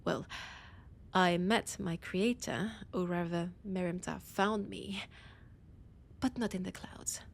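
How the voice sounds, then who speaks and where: A woman with a French accent playing an ancient, proud, teasing vampire scholar in an audio roleplay, deliberate and often dropping into breathy whispers. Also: lowish voice, a little hiss or hum. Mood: reflective. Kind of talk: scripted roleplay audio drama monologue.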